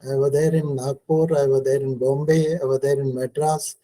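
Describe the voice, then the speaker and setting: An older man with an Indian English accent, a native Telugu speaker, answering questions in an online talk with many pauses and restarts. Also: medium pitch, little pitch movement, warm tone, poor audio. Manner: halting, many fillers and restarts. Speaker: guest translator. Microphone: video call.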